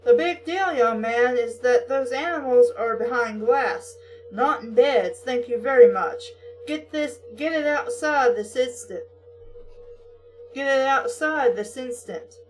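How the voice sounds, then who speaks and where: A woman's voice: fairly high pitch, unhurried, distant mic, soft background music, natural voice, low-quality recording. A woman voicing a stern, fed-up aunt scolding her son with a Southern American accent. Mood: stern, angry. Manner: scolding, emphatic.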